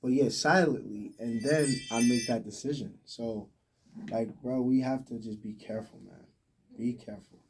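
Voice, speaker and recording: A man's voice: medium pitch, normal speed, background noise. An American man in his twenties talking casually and emphatically in slang on a podcast, picked up slightly from a distance. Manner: talkative, emphatic, slang-heavy.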